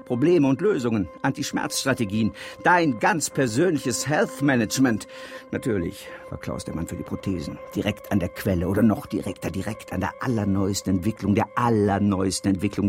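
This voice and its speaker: An actor in a radio drama playing a boastful, driven campaign executive plagued by pain, speaking in clipped, slogan-like lists. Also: male voice, fairly low pitch, natural voice. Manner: self-important, clipped slogan-like enumerations. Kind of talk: contemporary radio drama monologue.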